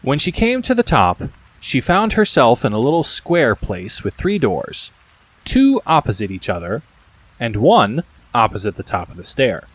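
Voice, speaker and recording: Expressive male storybook narrator, animated pitch. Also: measured pace, breathy edge, poor audio. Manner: expressive, addresses the listener directly. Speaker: audiobook narrator.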